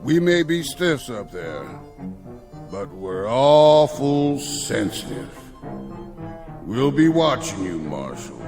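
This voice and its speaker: A bossy cowboy outlaw for tv, folksy american drawl, kinda gravelly, music behind. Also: male voice, medium pitch, big pitch swings, slow. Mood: stern, menacing. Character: swaggering Old West outlaw bossing around an actor. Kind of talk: television drama acting, folksy Western talk.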